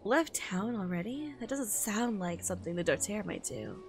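A woman streamer reading a manga aloud in an expressive, giggly way, with excited reactions in between. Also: mid-range voice, normal speed. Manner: expressive, giggly, switches into character voices. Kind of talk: live reaction read-aloud with excited asides. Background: music behind the voice.

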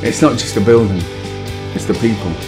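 Low-voiced man, candid. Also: steady tone, a little fast. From London. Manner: candid, street-casual talk. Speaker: project member, musician. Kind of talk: documentary interview. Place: indoor art room. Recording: music bed underneath.

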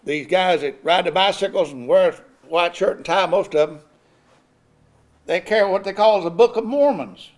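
Older man, high-pitched preacher, builds emphasis, chuckles now and then, pulpit mic. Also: moderate pace. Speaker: pastor. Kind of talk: sermon. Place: church sanctuary at a pulpit. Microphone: pulpit microphone.

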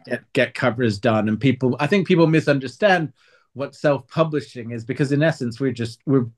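A man's voice: medium pitch, moderate pace. A man talking as an author guest in a conversational interview, earnest and wordy with a wry streak.

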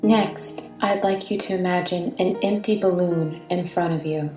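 A woman guiding a meditation in a soothing, gentle, deliberate way with long pauses, her phrases trailing into breathiness. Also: lowish voice, fairly even intonation. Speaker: meditation guide. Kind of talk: guided meditation narration. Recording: reverberant, distant-sounding voice over soft music.

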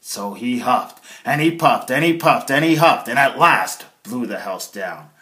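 A man's voice: medium pitch, animated, measured pace, bright, forceful tone. A middle-aged man reading a children's story aloud as a storyteller, recorded on a phone indoors. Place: small bare indoor room. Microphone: phone at arm's length. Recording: echoey small room, phone mic.

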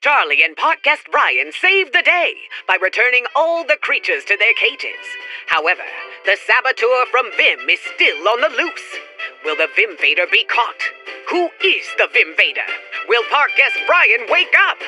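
An actor playing a dramatic, upbeat announcer, narrating an old-time radio serial in a theatrical, suspenseful way. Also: male voice, extremely high pitch, sing-song, moderate pace, sharp tone, faint music behind, full character voice.

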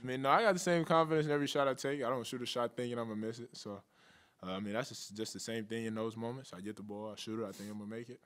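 A young man answering questions as a college basketball player at a postgame press conference, relaxed and casual, into a table mic. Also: medium pitch, racing. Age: young adult. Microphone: table mic on stand.

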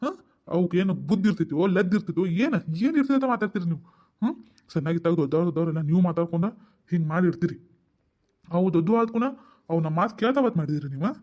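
A man's voice: sits high, animated, very fast, lo-fi sound. A middle-aged man with a North Karnataka Kannada accent, playing an exasperated father who bluntly berates his wife with rhetorical questions in a family drama skit. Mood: berating.

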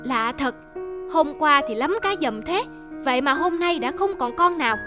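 A woman's voice: high-pitched, animated, moderate pace. A woman voicing a poor, wishful old fisherman in a cartoon folk tale, grumbling and plaintive. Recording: music under the voice.

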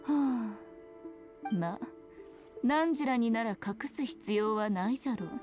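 Sly, high-voiced fox shrine maiden. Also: female voice, animated, slow pace, music in the background. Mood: grave, sly. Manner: archaic haughty Japanese speech, playful mock sympathy. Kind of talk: scripted video game dialogue.

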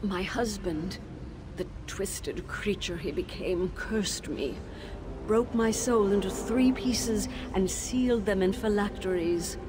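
An actress voicing the ghost of a tormented woman teacher in a video game, speaking in a measured, grave and sorrowful way. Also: mid-range voice, lightly acted. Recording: game audio with steady background noise.

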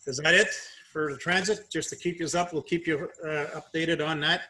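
A man's voice: fairly high pitch, steady tone, normal speed, pushed and bright. A man speaking informally as mayor, chairing a council meeting over a video call. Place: remote video call from a room.

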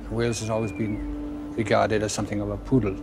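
A man explaining his views in an archive interview, speaking in a flat, steady way from a distant recording. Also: on the low side, fairly quick, noisy background.

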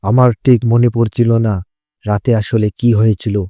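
Low, mellow male horror storyteller. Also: level tone, normal speed, no echo. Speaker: story narrator. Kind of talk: scripted first-person horror story narration.

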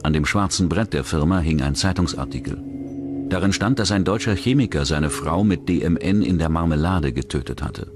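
A man's voice: low-pitched, a little fast, background noise. A man reading scripted true-crime documentary narration as the narrator.

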